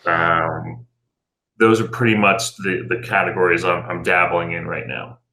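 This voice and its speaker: A man's voice: deep voice, flat delivery, measured pace, bright, forceful tone. A middle-aged American man giving conversational answers as an interview guest and business owner.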